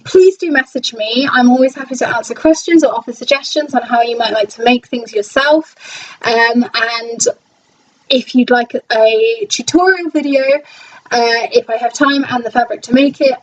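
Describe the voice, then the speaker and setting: A woman's voice: a little high, distant mic, highs cut off. A woman cosplayer chatting casually to her viewers, hesitant and full of fillers. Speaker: cosplayer and vlogger. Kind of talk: casual video chat to viewers.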